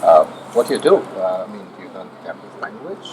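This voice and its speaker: An Indian man hosting an interview, his questions hesitant and full of "uh" and "so." His pitch moves a lot as he talks. Heavy noise sits behind him. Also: big pitch swings, quick pace. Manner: friendly, hesitant questions with many fillers. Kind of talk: casual interview. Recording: loud background noise.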